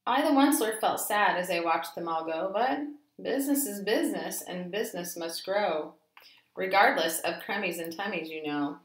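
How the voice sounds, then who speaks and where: Woman doing a storybook read-aloud, lowish voice, rhythmic and expressive, echoey room. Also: moderate pace. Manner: emphatic, rhythmic. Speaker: storybook reader. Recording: roomy echo.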